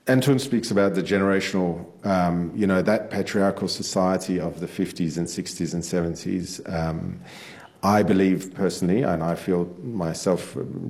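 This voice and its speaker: A man speaking as a guest on a panel, halting and full of fillers and restarts. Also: low-pitched, vocal fry, a little mellow. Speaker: author guest. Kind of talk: panel discussion. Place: on stage before an audience.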